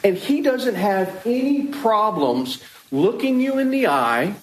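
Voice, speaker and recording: A man's voice: sits high, big pitch swings, slow. A man prosecutor giving a closing argument in court, emphatic and repetitive as he accuses the defendant. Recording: roomy courtroom sound.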